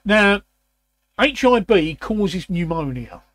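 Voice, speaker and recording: A man in his sixties with a croaky, raspy voice, hosting a casual chat and often clearing his throat. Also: sits high, very animated, slow pace, a bit bright, dry recording. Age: 60s. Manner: repeats words, frequent throat clearing. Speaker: host.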